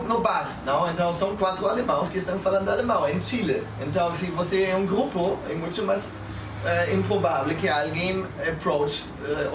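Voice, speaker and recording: A man in his thirties with a slightly high voice chats and gives advice as a guest. His delivery is halting and mixes languages. He sounds echoey, with clear noise around him. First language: German. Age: 30s. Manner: halting non-native speech peppered with Spanish and English words and tag questions. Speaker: guest, public speaker. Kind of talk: casual storytelling and advice. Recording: echoey, noisy, distant mic.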